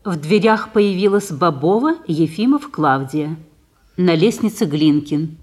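A woman's voice: on the low side, animated, a little slow, a little mellow. A woman narrating stage directions for a recorded play in a scripted style.

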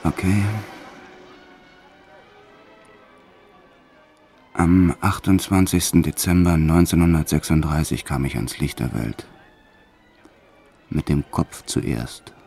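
An actor playing a notorious male French criminal who recounts his wartime childhood in a brooding, deliberate way, as in an audio drama. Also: extremely low pitch, steady tone, gravelly, mellow, slightly rough, faint music behind, exaggerated voice. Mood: brooding reminiscence. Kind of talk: dramatized first-person audio drama narration.

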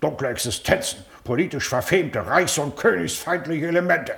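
A man's voice: fairly high pitch, moderate pace, vocal fry, a bit bright. A man playing a pompous, self-important Prussian baron and district official in a German radio play, officious and commanding, hemming and hawing as he interrogates. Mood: sinister, contemptuous.

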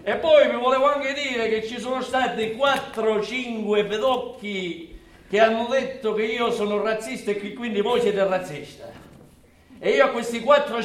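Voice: mid-range voice, gravelly, a bit bright, reverberant, recorded from a distance. An actor playing a bigoted, self-important nationalist video blogger who declaims with coarse, emphatic repetition in a theatrical satirical monologue. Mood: indignant, rousing.

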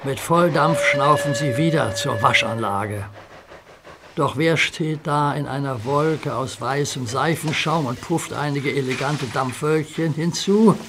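Slow, calm storybook narrator, man. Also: mid-range voice, noisy background.

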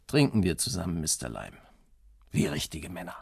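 A man's voice: deep voice, big pitch swings, moderate pace, creaky, a little mellow, husky, no echo, studio quality, heavily acted. An actor playing a menacing, mocking male thug interrogating someone in a radio crime drama, with false courtesy. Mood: mocking menace.

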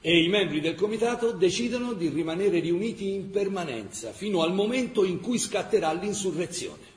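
An actor playing a solemn chronicler, formally narrating wartime events for radio theatre. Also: male voice, high-pitched, expressive, moderate pace. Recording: long reverb, faint background noise.

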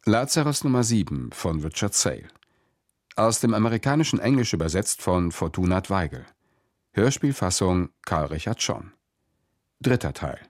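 A man's voice: sits low, very animated, moderate pace, dry recording. A man reading a formal credits announcement for a German radio drama.

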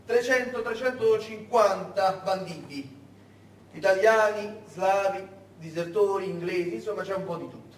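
An actor playing a brisk, smugly commanding German major who gives his officers clipped orders and prods them with check questions, as in a stage monologue. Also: high voice, natural voice. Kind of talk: theatrical monologue acting. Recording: echoey room, distant mic, faint noise.

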